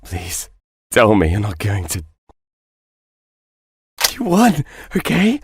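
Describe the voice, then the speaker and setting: A man's voice: fairly low pitch, wildly swooping pitch, slow pace, slight background noise, exaggerated voice. An actor playing a bitter, tormented cartoon man in a horror game, with a theatrical, volatile, foul-mouthed delivery. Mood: desperate pleading. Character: tormented cartoon man, bitter, taunting, vengeful, then desperate. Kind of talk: dramatic visual novel game voice acting.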